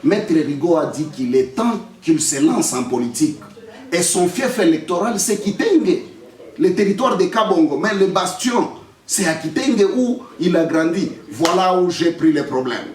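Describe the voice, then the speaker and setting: High-pitched, animated young Congolese man, echoey. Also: measured pace. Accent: Congolese French. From Democratic Republic of the Congo. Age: young adult. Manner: emphatic, defiant, repeats phrases for effect. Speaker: lawyer and political candidate. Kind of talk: press statement answering accusations. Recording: echoey room, distant microphone.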